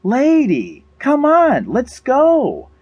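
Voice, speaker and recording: A man's voice: fairly high pitch, big pitch swings, measured pace, no echo, highs cut off, voice pushed up. A man voicing a practical woman survivor in an audiobook, blunt, bossy and matter-of-fact. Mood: calling out.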